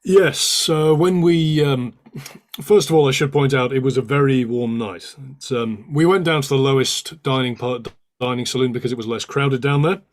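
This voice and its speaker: A man's voice: medium pitch, normal speed, natural voice. A man playing an earnest disaster survivor giving testimony, recalling details carefully with slight hesitation, in a table read of a courtroom play. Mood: earnest, recollecting.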